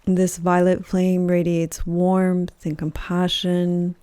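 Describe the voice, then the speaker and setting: A woman guides a meditation in a dark, relaxed voice on the low side. She speaks very slowly and gently, leaving soft pauses between phrases. The sound is close and dry. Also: clear, resonant tone, no echo. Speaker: yoga nidra teacher, meditation guide. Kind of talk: guided meditation, soothing scripted narration.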